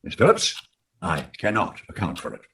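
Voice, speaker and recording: A man's voice: low-pitched, wildly swooping pitch, normal speed, vocal fry, a bit bright, exaggerated voice. A man playing an unruffled, skeptical astronomy professor, speaking in a donnish way in an old-time radio drama. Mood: assured, dismissive. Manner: donnish, dismissive, considered phrasing.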